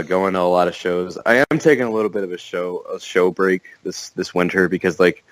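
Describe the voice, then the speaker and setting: A young man with a deep voice, chatting on a podcast, rambling with lots of fillers and restarts. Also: no echo, low-quality recording. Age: young adult. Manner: rambling, many fillers and restarts, laughs often. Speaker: podcast guest. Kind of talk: casual podcast chat.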